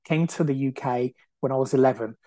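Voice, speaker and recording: A man's voice: medium pitch, a little fast, mellow, dry recording. A man in his forties from Brazil, whose first language is Portuguese, hosting a podcast in an earnest, casual monologue full of "you know" and repeated phrases. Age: 40s. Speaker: podcast host. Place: at home on the sofa.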